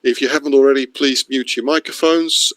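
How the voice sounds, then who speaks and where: A middle-aged man from the Netherlands introduces an online training course. His delivery is informal and slightly halting, at a medium pitch, recorded dry with no room sound. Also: steady tone, fairly bright tone, no room sound. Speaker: course presenter. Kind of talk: webinar introduction, spoken off the cuff. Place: online video meeting.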